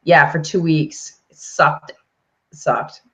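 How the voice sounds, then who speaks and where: A woman co-hosting a casual live chat, her voice husky as she gets over a chesty cough. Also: deep voice, level tone, takes it slowly, fairly bright tone. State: recovering from bronchitis with a cough. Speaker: co-host of a business live show. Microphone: live video call. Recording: muffled, lo-fi video stream.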